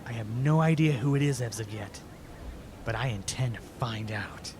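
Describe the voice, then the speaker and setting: A man's voice: mid-range voice, normal speed, lightly acted. A man playing a serious, determined young hero in a fan audio drama, speaking intently. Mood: grim resolve. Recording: clearly noisy amateur recording.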